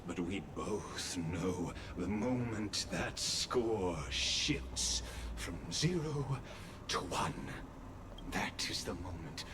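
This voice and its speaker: A very slow, theatrical gloating villain. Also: male voice, deep voice, expressive, background noise, exaggerated voice. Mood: calm gloating. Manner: measured, theatrical, condescending. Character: patient, gloating male villain, godlike schemer. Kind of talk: dramatic video game voice acting.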